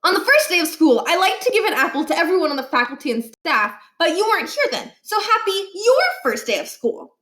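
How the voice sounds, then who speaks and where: A woman's voice: extremely high pitch, sing-song, brisk, few pauses, a bit bright, roomy sound, pitched above the performer's own voice, full character voice. A woman voicing a cheerful, falsely polite schoolboy prankster in a storybook, with an eager, ingratiating manner.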